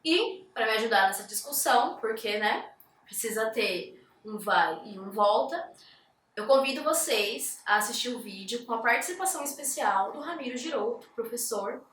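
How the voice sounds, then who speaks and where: A woman's voice: a little high, lively intonation, moderate pace, a bit bright, reverberant, far from the mic. A woman hosting a casual interview, chatty and jokey, with frequent fillers. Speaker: host.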